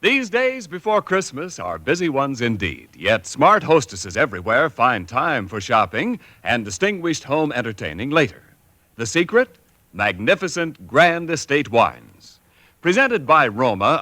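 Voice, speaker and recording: A man's voice: medium pitch, sharp tone, no echo. A man announcing a 1940s radio commercial in a polished, persuasive way.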